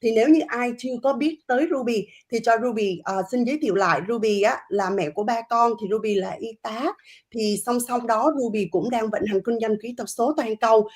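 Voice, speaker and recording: First-time guest: woman, eager, slightly jittery, highish pitch. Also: fast. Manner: warm, slightly nervous, broken English. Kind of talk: self-introduction on a video call.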